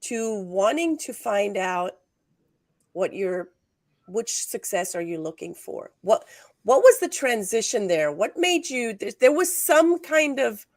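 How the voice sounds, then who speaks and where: A woman hosting an interview show, energetic and emphatic, her voice slightly hoarse today. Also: medium pitch, slow, no echo, clean studio sound. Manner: energetic, emphatic, restarts phrases. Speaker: podcast host. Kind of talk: scripted show opening and interviewing.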